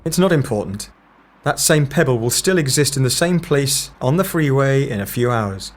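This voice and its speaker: A man acts a male time agent in an audio drama, with a medium-pitched voice. He is serious and analytical, speaking with terse, matter-of-fact authority. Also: expressive, moderate pace, a little hiss or hum, close to an everyday voice. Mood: brisk, certain.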